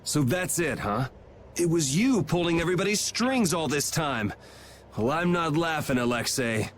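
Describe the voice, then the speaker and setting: A man's voice: on the high side, expressive, moderate pace, slight background noise, close to an everyday voice. An actor playing a casual, cool-headed young swordsman in a game, with a wry, laid-back manner. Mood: defiant. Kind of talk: English game dub acting.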